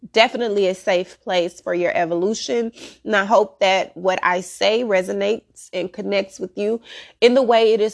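A woman's voice: mid-range voice, creaky. A woman hosting a spiritual podcast, sounding nasal from a head cold, speaking earnestly and encouragingly with the odd "um". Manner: earnest and encouraging, with filler ums. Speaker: podcast host. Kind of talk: spiritual astrology podcast talk. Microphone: solo audio podcast recording.

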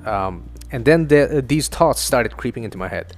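A man's voice: mid-range voice, animated, moderate pace, soft background music. A young man from Northeast India whose first language is Assamese, hosting his own podcast in a chatty, loose monologue full of swearing and self-mocking asides. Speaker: podcast host. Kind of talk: casual solo podcast monologue. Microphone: home studio mic.

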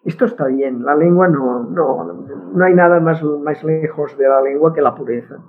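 A woman's voice: sits low, moderate pace. Woman with a Catalan accent, tinny line. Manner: firm, opinionated, restarts words often. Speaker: guest linguist. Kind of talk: casual conversation.

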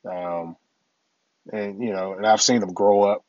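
Middle-aged man, laid-back podcast host, slightly raspy, rambling filler-heavy talk, lowish pitch, dry room. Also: steady tone, measured pace, no room sound, dull audio. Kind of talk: casual solo podcast talk.

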